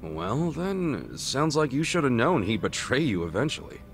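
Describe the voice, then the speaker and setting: A man's voice: medium pitch, sing-song, normal speed, soft background music. A man voicing a blunt, earnest, streetwise middle-aged underdog in a video game, slangy and frank. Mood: questioning. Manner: blunt, slangy, incredulous questioning. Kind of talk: video game cutscene dialogue acting.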